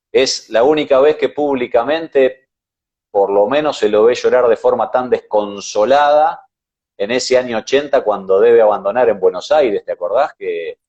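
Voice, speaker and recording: A man with an Argentine accent, co-hosting a casual motorsport chat, talking in a measured way with hesitations. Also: mid-range voice, a little mellow, dull audio. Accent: Argentine Spanish. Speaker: co-host.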